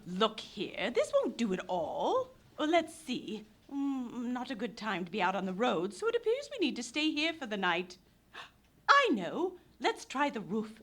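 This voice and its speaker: An actress playing a bossy, teasing, plucky young woman adventurer in a live radio play, brisk and theatrical. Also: fairly high pitch, wildly swooping pitch, fairly bright tone, exaggerated voice. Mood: brisk, decisive.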